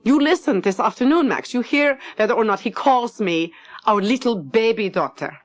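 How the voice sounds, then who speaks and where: A woman's voice: on the high side, expressive, normal speed, voice pushed up, close to an everyday voice. A woman narrator voicing a snappish, severely logical woman doctor in her late fifties in an audiobook story. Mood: indignant. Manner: snappish, emphatic, cutting. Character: sharp, indignant woman doctor of fifty-eight, severely logical.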